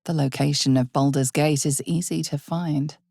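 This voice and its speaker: A woman voicing an ominous, knowing narrator of a dark fantasy game, speaking in a measured, dramatic, often hushed way. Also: sits low, steady tone, gravelly, slightly rough, no echo, clean studio sound, heavily acted. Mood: knowing, grave.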